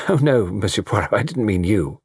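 A man voicing a very young society woman in an audiobook, direct and girlish, sharp yet charming. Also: lowish voice, expressive, moderate pace, husky, no echo, clean studio sound. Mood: smiling, curious.

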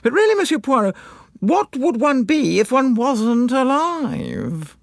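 Man putting on a high, shrill, imperious society wife. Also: wildly swooping pitch, measured pace, creaky, no echo, studio quality, exaggerated voice. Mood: affected. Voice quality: shrill, penetrating. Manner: haughty, cutting.

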